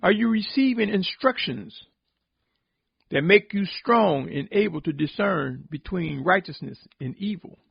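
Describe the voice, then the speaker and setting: Gravelly, husky man preaching solemnly. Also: high voice, animated, no echo, highs cut off. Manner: exhortatory, deliberate, with emphatic repetition. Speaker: religious podcast host and preacher. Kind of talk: solemn sermon-like spoken reflection.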